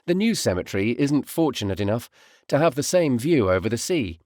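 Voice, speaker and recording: Man, audiobook narrator. Reflective storytelling, animated pitch movement, close dry recording. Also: brisk, dry recording. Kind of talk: literary first-person audiobook narration.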